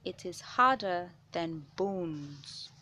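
A woman reading a children's science picture book aloud, with measured, clear phrasing for young listeners. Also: fairly low pitch, animated, bright, forceful tone. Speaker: children's read-aloud host. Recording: noticeable background noise.

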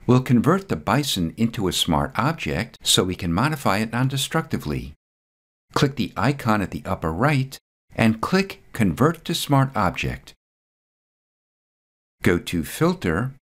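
A man reading a scripted step-by-step software tutorial voice-over, calm and methodical. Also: fairly low pitch, a little slow, long pauses.

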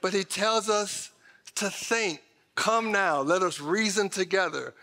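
A man's voice: sits high, takes it slowly, pushed and bright. A middle-aged American man preaching a sermon in an emphatic, conversational way, speaking directly to his congregation in a reverberant church auditorium. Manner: emphatic, conversational, addresses listeners directly with folksy asides. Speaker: pastor. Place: large church auditorium stage, indoors. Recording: reverberant hall sound from stage.

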